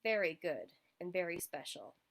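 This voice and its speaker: Woman as a hungry storybook raccoon, lively. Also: fairly low pitch, moderate pace, pitched below the performer's own voice. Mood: wondering. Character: hungry, scruffy male raccoon, hopeful and trying patience.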